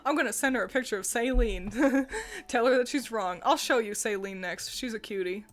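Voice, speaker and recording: Excitable young woman gushing. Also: fairly high pitch, moderate pace. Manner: gushing, excitable, swears, laughs often. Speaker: co-host. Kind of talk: casual chat.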